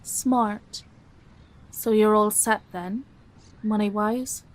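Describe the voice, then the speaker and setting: An actress with a British accent playing a caring, frank middle-aged single daughter in an intimate audio drama. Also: female voice, medium pitch, fairly even intonation, extremely slow. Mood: gentle, practical.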